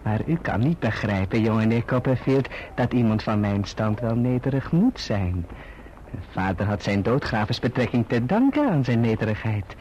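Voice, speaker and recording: An actor playing a falsely humble, scheming young clerk in a radio drama, wheedling and obsequious. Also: male voice, medium pitch, expressive, normal speed, a little mellow, natural voice. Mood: servile, insinuating. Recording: dull, noisy old radio recording.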